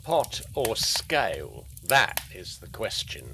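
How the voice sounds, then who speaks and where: A low-pitched, gruff police sergeant from an old London mystery. He speaks slowly and deliberately in plain working man's phrases, with lively swings of pitch. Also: background noise, heavily acted. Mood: musing. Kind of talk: gothic audio drama acting.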